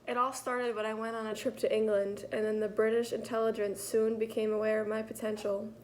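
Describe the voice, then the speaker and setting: A woman's voice: on the high side, little pitch movement, fairly quick, a little mellow, lightly acted, rough recording. A woman playing a poised French spymaster. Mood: composed. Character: poised, daring female French spy chief. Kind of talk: school project role-play interview.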